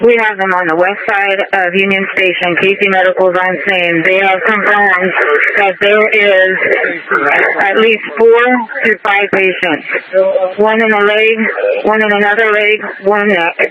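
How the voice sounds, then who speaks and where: A man's voice: high-pitched, flat delivery, a little slow. A man giving clipped, procedural emergency traffic over a two-way radio. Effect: radio transmission. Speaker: emergency services radio responder. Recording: muffled police radio with crowd noise.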